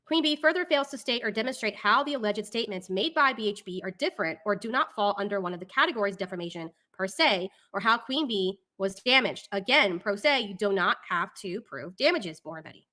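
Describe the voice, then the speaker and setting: A woman's voice: mid-range voice, animated, a little fast, hardly any pauses, pushed and bright. A woman hosting a casual live talk show, reading documents and commenting in an exasperated, opinionated way.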